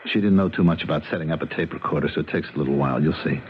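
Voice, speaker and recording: A man's voice: rumbling low, rapid-fire, a little hiss or hum. A man playing a defensive, unsentimental working man in a 1970s radio drama. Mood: defensive, exasperated. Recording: tinny band-limited 1970s radio, music behind.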